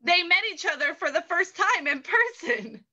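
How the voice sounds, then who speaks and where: A woman's voice: very high voice, sing-song, moderate pace, bright, forceful tone, dull audio. A woman narrating an improvised story with an amused storybook delivery.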